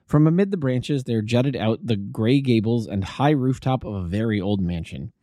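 A man's voice: medium pitch, expressive, normal speed, dark tone, dry recording, clean studio sound. A man reading a classic detective story aloud as an amateur audiobook narrator.

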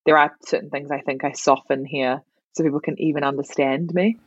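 Chatty New Zealand woman, low voice. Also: fairly even intonation, fairly quick, slightly rough, no echo, lo-fi sound. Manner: chatty, laughs often, many restarts. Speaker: guest, comedian. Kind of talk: casual podcast interview.

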